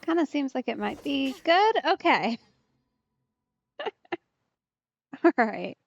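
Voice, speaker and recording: Unhurried, higher-voiced woman streamer. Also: big pitch swings, vocal fry, highs cut off. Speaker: streamer, let's player. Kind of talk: casual game commentary.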